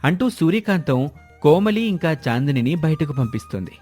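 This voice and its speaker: A man's voice: a little high, animated, normal speed, darkish tone, faint music behind. A man narrating a scripted moral story as the storyteller.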